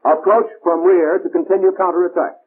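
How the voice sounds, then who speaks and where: A man's voice: high-pitched, steady tone, brisk, slightly rough. An American man narrating a 1940s military instructional film in a clipped, formal, instructive manner, on a tinny old film soundtrack.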